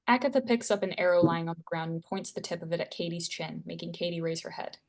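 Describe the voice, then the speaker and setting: A woman's voice: fairly low pitch, fairly even intonation, quick pace, darkish tone. A woman reading scripted stage directions as the narrator of a screenplay table read.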